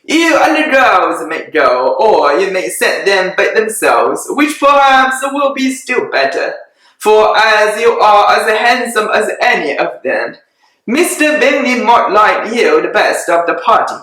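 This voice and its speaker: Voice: mid-range voice, sing-song, a little slow, a bit bright. A reader doing a dry, sarcastic father. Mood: teasing. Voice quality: dry, teasing. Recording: very echoey room.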